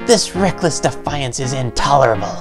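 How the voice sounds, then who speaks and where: A man's voice: mid-range voice, animated, moderate pace, music in the background, natural voice. A man voicing a stern, indignant council elder in a fantasy game, formal and severe. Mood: stern accusation.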